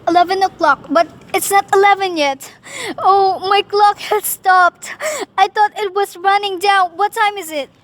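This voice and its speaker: A woman's voice: very high voice, fairly even intonation, unhurried, fairly bright tone. A woman acting in a radio play as a nervous, demanding invalid wife, alone and frightened, speaking with urgency. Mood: anxious, upset. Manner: nervous, imperious, breathless urgency.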